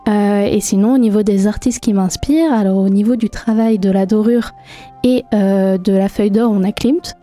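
A young woman from France, a guest on a local radio show, answering questions hesitantly with many pauses and fillers. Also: medium pitch, fairly even intonation, mellow, soft background music. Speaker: guest artist.

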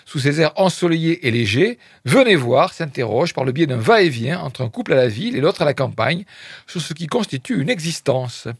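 A man's voice: fairly high pitch, sing-song, normal speed, slightly rough, no echo, clean studio sound. A man presenting film reviews on the radio, reading press quotes and adding wry, hesitant asides full of fillers.

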